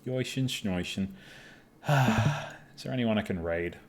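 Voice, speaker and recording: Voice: medium pitch, slow pace, faint music behind. A stream host chatting casually with a group of friends during a live broadcast. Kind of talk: casual livestream chat among friends.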